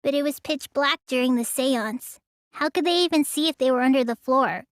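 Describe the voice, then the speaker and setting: A woman's voice: high voice, normal speed, no echo, clean studio sound, natural voice. A woman voicing a sulky, lazy teenage girl in a video game, with a pouty drawl that stammers when flustered. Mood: flat, explaining. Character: sulky, lazy teenage girl who claims real magic. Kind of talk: English video game voice acting.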